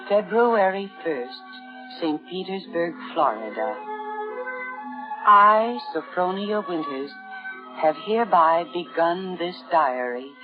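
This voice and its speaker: An actress playing a timid, lovestruck spinster of sixty in a vintage radio drama, hesitant and dramatic. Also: female voice, lowish voice, lively intonation, slow. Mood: dreamy, excited. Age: 60s. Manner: breathless, hesitant, dramatic diary narration. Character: timid, lovestruck, naive sixty-year-old spinster keeping a diary. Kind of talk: 1950s radio drama acting. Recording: muffled vintage radio broadcast with music.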